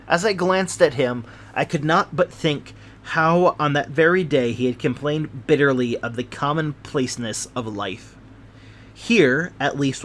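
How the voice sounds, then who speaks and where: A man's voice: medium pitch, fairly bright tone, a little hiss or hum. A man reading scripted literary narration as an audiobook narrator.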